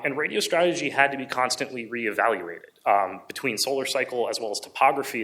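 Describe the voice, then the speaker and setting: A man giving an informative book presentation in a halting way, often correcting himself mid-sentence. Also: fairly low pitch, sharp tone, rough recording.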